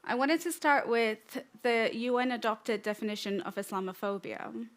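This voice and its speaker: A young woman from Tanzania giving a conference talk on stage, relaxed and joking at times. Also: a little high, normal speed. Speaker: conference speaker. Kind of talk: prepared talk with light humor.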